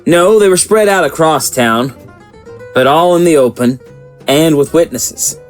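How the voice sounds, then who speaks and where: A man's voice: fairly high pitch, measured pace, soft background music, close to an everyday voice. A man playing an earnest, protective small-town sheriff in an audio drama, with a folksy, plain-spoken manner. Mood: grave, earnest.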